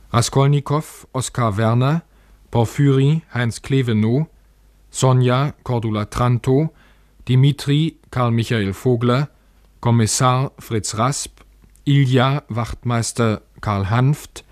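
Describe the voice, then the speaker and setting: A man announcing on radio, reading scripted credits in a formal, measured and precise manner. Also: medium pitch, a little mellow, no room sound, studio quality.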